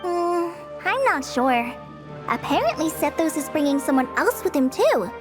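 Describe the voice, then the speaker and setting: A modest, earnest teenage girl ranger from an anime game. The voice is very high and youthful, with lively pitch and a hesitant, polite manner. Music plays behind it. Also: moderate pace. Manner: hesitant, self-effacing, polite. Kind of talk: English anime game dub acting.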